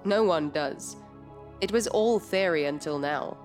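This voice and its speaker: A woman's voice: low voice, a little slow, a little mellow, faint music behind. A woman playing a composed, loyal scientist in an audio drama, firm and polite while on the defensive.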